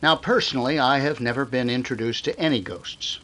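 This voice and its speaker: A man plays an uneasy male show director in an old-time radio drama. His voice is medium in pitch, with a hesitant, wry, faintly superstitious manner. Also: a little fast, fairly bright tone, close to an everyday voice. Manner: hesitant, throat-clearing, wry. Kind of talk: 1930s radio drama acting.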